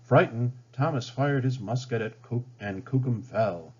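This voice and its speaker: A man reading a children's storybook aloud with dramatic, expressive narration. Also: mid-range voice, unhurried, breathy edge. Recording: slightly dull, band-limited sound.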